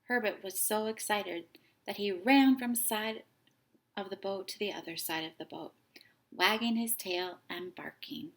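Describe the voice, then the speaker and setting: A woman reading a children's picture book aloud, with dramatic, deliberate phrasing as a storytime host. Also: medium pitch. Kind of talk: expressive children's story narration.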